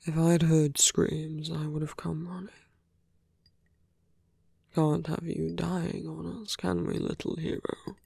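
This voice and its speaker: A man voicing a suave, teasing villain in an ASMR roleplay, soft and breathy, often dropping to a whisper, smug and flirtatious with an enemy. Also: a little high, level tone, slow, pauses often, dry recording, studio quality, full character voice. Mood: earnest, teasing. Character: suave, teasing male villain, smitten with his heroic enemy.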